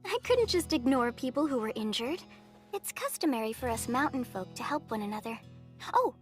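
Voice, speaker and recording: Sweet anime village girl, very high voice, caring, shy, easily flustered, faint music behind. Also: very animated, normal speed. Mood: gentle, caring. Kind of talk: English anime dub acting.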